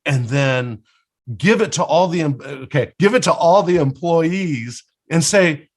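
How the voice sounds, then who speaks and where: A man's voice: fairly high pitch, measured pace. A man hosting an interview podcast, speaking enthusiastically and effusively with rambling asides. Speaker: podcast host.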